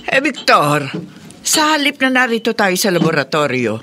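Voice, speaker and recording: Fretful Filipino mother, animated pitch. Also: moderate pace. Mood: worried. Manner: fretful, questioning. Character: worried, questioning mother of grown son. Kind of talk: Filipino horror radio drama acting.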